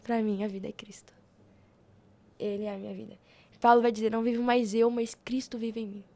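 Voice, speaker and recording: A woman's voice: fairly high pitch, little pitch movement, a little fast, creaky, darkish tone. A young Brazilian woman telling personal stories casually as a podcast guest, slangy and often retelling conversations as dialogue. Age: young adult.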